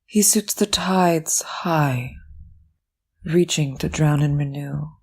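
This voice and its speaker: A woman reciting poetry in deliberate short phrases with measured pauses. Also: low-pitched, husky. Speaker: poetry reader.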